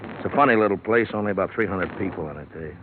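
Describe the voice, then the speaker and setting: An actor playing a folksy, plain-spoken small-town sheriff in a radio drama, with a rural Western American accent. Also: male voice, sits low, fast talker, narrow, tinny audio, natural voice. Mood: weary, frank. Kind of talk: 1950s radio drama acting. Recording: noisy, band-limited vintage radio broadcast.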